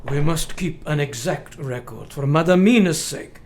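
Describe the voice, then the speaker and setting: Man acting an earnest old professor, higher-pitched voice. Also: a little mellow, slight background noise, close to an everyday voice. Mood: resolute, instructive. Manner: formal, deliberate, instructive. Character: earnest, commanding old male professor leading vampire hunters. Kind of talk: radio play acting.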